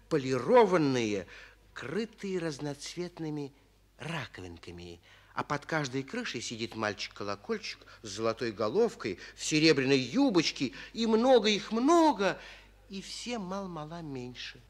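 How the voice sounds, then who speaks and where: An actor in a 1940s radio play as a father telling a whimsical tale in an expressive, measured way. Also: a little high. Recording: old 1940s radio recording.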